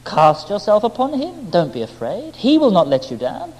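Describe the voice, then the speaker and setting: A man voicing a serene, tender woman who personifies self-control, coaxing gently and earnestly. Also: high voice, huge pitch swings, moderate pace, pitched above the performer's own voice, exaggerated voice. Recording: long hall-like reverb.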